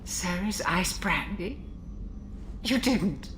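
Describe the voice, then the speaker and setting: A woman playing a seasoned, caring military doctor in a video game, with a mature, measured voice and a wry, reflective way of talking. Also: fairly low pitch, animated, fairly bright tone, a little hiss or hum. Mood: pleased, warm. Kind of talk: video game cutscene acting.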